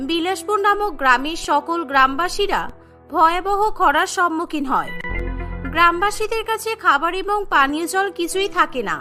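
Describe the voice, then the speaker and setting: Bright, very high-pitched woman storyteller. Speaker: narrator. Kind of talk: scripted cartoon story narration.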